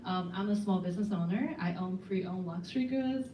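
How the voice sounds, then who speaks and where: A woman's voice: fairly low pitch, normal speed, mellow, a little hiss or hum. A woman from China, a small business owner, introducing herself at a meeting in a friendly, chatty way. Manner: friendly, chatty, laughs easily. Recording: echoey room, distant mic.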